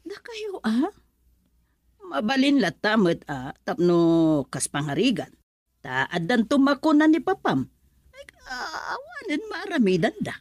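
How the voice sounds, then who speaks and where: A woman's voice: on the high side, huge pitch swings, a little slow, dry recording, heavily acted. Middle-aged auntie, motherly, advising, very varied intonation, dry sound, radio drama. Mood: thoughtful. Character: concerned, motherly auntie advising her niece.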